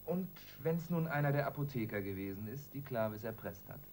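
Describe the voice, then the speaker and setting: A man's voice: medium pitch, expressive, a little fast, a little mellow, slight background noise, natural voice. A man playing an earnest young detective in a radio crime drama, doubtful and hesitant but persistent. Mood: questioning, insistent. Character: earnest, doubting young male detective, aged twenty-two.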